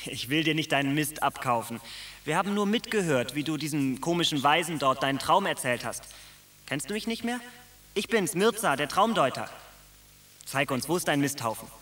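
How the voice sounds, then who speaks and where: A clever, scheming dream interpreter in a radio play, his voice bright and fairly high. The recording has a roomy echo. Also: lively intonation. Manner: brisk, scheming, indignant.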